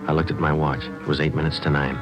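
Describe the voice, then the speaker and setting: An actor playing a stoic police detective in a 1950s radio drama, terse, deadpan and matter-of-fact. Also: male voice, extremely low pitch, fast talker, music in the background. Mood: deadpan, tense. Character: stoic police detective, clipped and businesslike, narrating his case. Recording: dull old 1950s radio recording.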